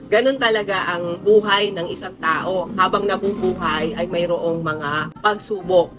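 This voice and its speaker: Filipino female, 40s, teacher-vlogger. Bright mid-pitched voice, casual emphatic storytelling over background music. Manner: chatty, conversational, emphatic with drawn-out words. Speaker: social science teacher and content creator. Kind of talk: casual storytelling vlog to followers.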